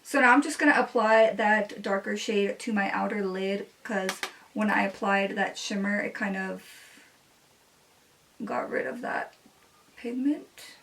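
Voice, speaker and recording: A woman's voice: medium pitch, measured pace, fairly bright tone, recorded from a distance, a little hiss or hum. A woman in her twenties, a native Spanish speaker, chatting casually while doing her makeup, with many "like" fillers. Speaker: beauty vlogger.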